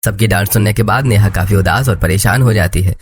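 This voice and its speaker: Low-pitched man narrating a story. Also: a little fast. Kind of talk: scripted cartoon story narration.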